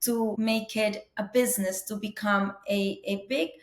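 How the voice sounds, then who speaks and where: A woman's voice: medium pitch, fairly even intonation, unhurried. Earnest woman, roomy sound. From Colombia. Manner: earnest, warm storytelling with frequent "you know" fillers. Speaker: guest, business owner. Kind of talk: interview conversation. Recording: roomy echo.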